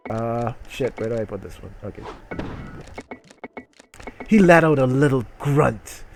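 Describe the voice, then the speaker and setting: A man's voice: medium pitch, noisy background. A man reading a story aloud as a streamer and breaking off for irreverent, sweary commentary. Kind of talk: dramatic reading with mocking live commentary.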